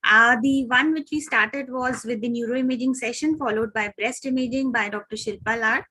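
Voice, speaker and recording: Indian-accented woman moderator, fairly high, husky tone, formal introductions over a video call. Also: fairly even intonation, sharp tone, rough recording. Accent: Indian English. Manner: reads a formal introduction with frequent "uh" pauses. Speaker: moderator of an online radiology journal club.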